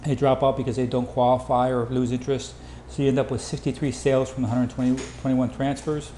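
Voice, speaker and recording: A man giving an informal sales presentation over slides, with hurried, clipped phrasing. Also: medium pitch, level tone, mellow. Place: indoor room, voice-over for slides. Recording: roomy echo, faint background noise.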